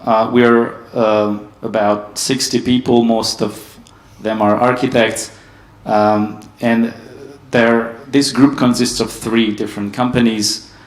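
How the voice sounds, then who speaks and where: A man from Hungary giving a conference panel talk, steady and hesitant with many ums. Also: mid-range voice, takes it slowly, hardly any pauses, reverberant. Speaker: panel speaker. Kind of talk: conference presentation.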